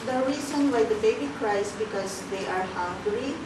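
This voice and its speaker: A woman's voice: medium pitch, normal speed. A woman in her twenties answering as a job applicant in a formal, rehearsed, polite manner. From Philippines. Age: 20s. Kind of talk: mock job interview. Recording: echoey room, distant mic, background noise.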